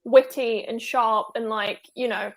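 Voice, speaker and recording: A woman's voice: on the high side, fairly even intonation, unhurried. Chatty young woman hosting a book club. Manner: chatty, rambling with many fillers. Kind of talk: casual video call chat.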